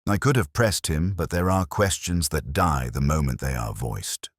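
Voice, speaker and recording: Very deep-voiced man narrating a mystery. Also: husky, no echo, clean studio sound. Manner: measured, literary, brooding delivery. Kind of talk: scripted first-person detective mystery narration.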